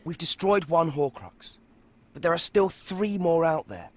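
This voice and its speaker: An actor playing an earnest, determined young British wizard, speaking urgently in a dramatic game scene. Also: male voice, on the high side, expressive, measured pace, slight background noise. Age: young adult. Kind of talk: dramatic film-style game acting.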